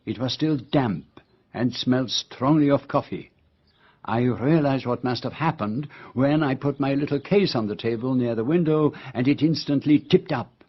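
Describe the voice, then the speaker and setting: A methodical detective played by a man in a radio mystery, patient and theatrical. His voice is slightly husky, and he lays out his case deliberately with dramatic emphasis. The recording is a little dull and muffled. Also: medium pitch, natural voice. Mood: explaining.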